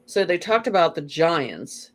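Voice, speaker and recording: A guest talks loosely and at length in an easy, unscripted spiritual chat. The voice sits at an average pitch, and the speech rambles with frequent fillers and self-corrections. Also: very animated, unhurried, slight background noise. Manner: rambling, full of "you know" and restarts. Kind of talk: casual spiritual conversation.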